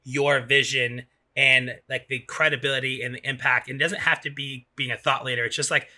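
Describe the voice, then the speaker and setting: A man's voice: medium pitch, level tone, a little fast, bright, forceful tone. A man hosting a podcast in casual chat, informal and circling back to restate his points. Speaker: podcast host.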